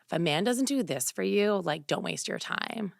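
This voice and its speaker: A woman's voice: medium pitch, animated, moderate pace, gravelly, dry recording, studio quality. A woman from New Jersey, raised speaking Greek, chatting casually as a podcast guest with plenty of "like" fillers. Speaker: guest, fashion and beauty influencer. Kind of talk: casual interview conversation.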